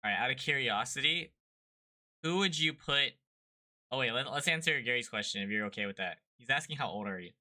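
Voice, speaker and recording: Very bright-voiced male podcast host. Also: mid-range voice, expressive, fairly quick. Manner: curious, asks follow-up questions. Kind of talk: casual interview chat.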